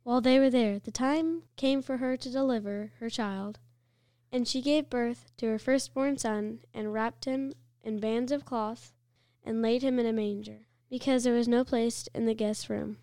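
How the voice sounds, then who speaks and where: A woman reading a scripture passage aloud in a measured, clear way. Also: on the high side, steady tone, warm tone, no echo, studio quality. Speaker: scripture reader.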